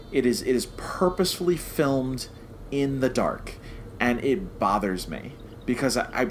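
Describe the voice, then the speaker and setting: Male podcast host, mid-pitched, emphatic conversational reviewer who repeats himself for stress; some background noise.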